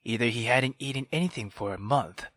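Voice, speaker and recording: A man reading a visual novel aloud as a let's player, expressive and informal. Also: medium pitch, fairly quick, no echo.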